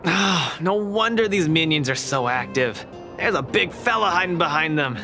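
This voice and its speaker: A man's voice: a little high, animated, moderate pace, close to an everyday voice. A man playing a cocky, upbeat young male fighter in an anime-style video game, with a breezy, boastful, teasing manner. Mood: cocky, fired up. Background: music.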